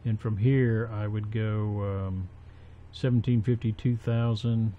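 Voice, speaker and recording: Slow, low-voiced man, dull muffled recording. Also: flat delivery. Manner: halting, thinking aloud as they work. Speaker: tutorial presenter. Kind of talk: casual unscripted software tutorial narration. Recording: dull, muffled home recording with faint noise.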